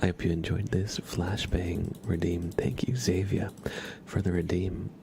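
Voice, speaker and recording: A man hosting an ASMR livestream, speaking in a breathy whisper and soft murmur, soothingly repeating words to viewers at a close microphone. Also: low-pitched, flat delivery, unhurried, noisy background. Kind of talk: ASMR, slow whispered roleplay and chat to viewers.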